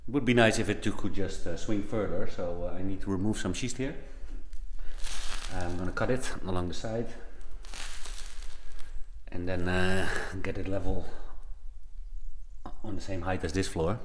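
A man's voice: low-pitched, fairly even intonation, normal speed, pauses often, a little hiss or hum. A man in his thirties, chatty and thinking aloud with fillers, vlogging his house renovation. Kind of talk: casual vlog narration. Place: stone house under renovation. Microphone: action camera nearby. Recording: echoey bare room.

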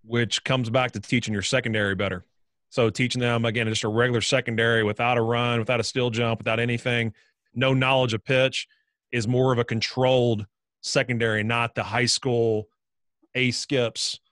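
A man gives steady coaching advice on a video call. The sound is close and dry, with no room echo. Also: medium pitch, normal speed. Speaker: baseball coach. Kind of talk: video call panel discussion.

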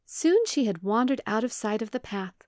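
A woman's voice: a little high, very animated, normal speed, a little mellow, no room sound. Woman storyteller with a dry, close sound. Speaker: children's librarian, storyteller. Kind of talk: scripted storytelling for children.

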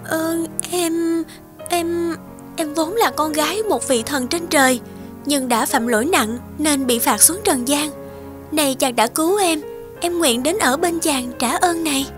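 A woman playing a gentle, devoted young fairy wife in a cartoon folktale, speaking earnestly. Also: sits high, a little slow. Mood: earnest, hesitant. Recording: music behind the voice.

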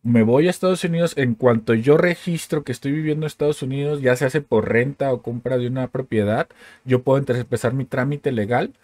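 A man's voice: mid-range voice, a little fast, darkish tone, no echo. A man in his thirties hosting a podcast, asking curious questions and thinking aloud with fillers. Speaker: podcast host. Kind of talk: casual interview questions. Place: home room, indoors. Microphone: studio mic on a stand, with headphones.

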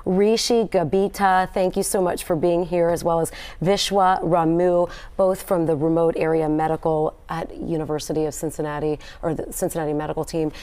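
A woman news anchor with a notably low voice speaks in an upbeat, emphatic studio manner. She is middle-aged and miked with a clip-on lapel mic in a TV studio. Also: steady tone, normal speed, hardly any pauses, warm tone. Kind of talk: live studio interview.

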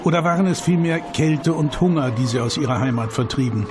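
A man reading scripted documentary narration with measured, dramatic phrasing and rhetorical questions. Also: on the high side, noisy background. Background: background music.

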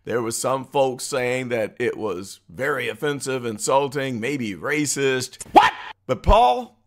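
Male American commentary host, middle-aged, slangy, very lively intonation. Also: mid-range voice, takes it slowly. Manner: animated, slangy, amused. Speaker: political commentary video host. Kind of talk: casual reaction commentary.

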